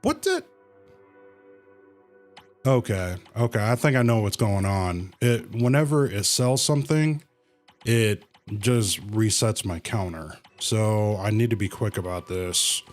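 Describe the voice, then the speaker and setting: A man with a fairly low voice gives casual gameplay commentary on a livestream. He talks slowly and haltingly, thinking aloud, with faint music behind him. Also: expressive. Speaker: livestreamer playing a video game.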